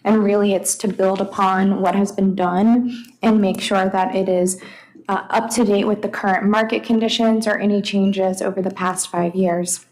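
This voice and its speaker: Soft-spoken woman presenting a plan. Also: medium pitch, little pitch movement, moderate pace, poor audio. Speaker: planning consultant. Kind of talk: presentation to a board.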